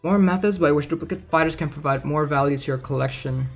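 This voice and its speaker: A man streaming casually about a game, reading aloud and thinking out loud in a hesitant way with plenty of filler words. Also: a little high, fast talker, gravelly, soft background music. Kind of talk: casual livestream commentary, reading aloud and reacting.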